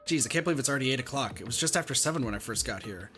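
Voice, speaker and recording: Guy doing a confused earnest schoolboy from an anime game, thinking out loud, pitch moves around, faint music. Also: expressive, very fast. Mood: puzzled. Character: bewildered, earnest teenage schoolboy visual novel hero. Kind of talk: reading game dialogue in character.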